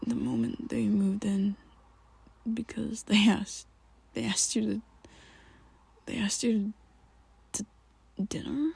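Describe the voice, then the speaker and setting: A woman in an ASMR roleplay, talking to the listener as a worried best friend, halting and rambling, often sinking into a soft whisper close to the mic. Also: medium pitch, big pitch swings, vocal fry, husky. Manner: hesitant and rambling, halting restarts.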